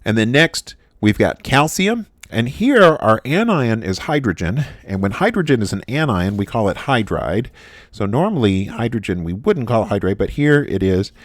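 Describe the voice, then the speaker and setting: Deep-voiced man teaching chemistry. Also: sing-song, no room sound, clean studio sound. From United States. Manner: halting, frequent "uh" fillers and restarts. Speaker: chemistry instructor. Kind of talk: recorded lecture.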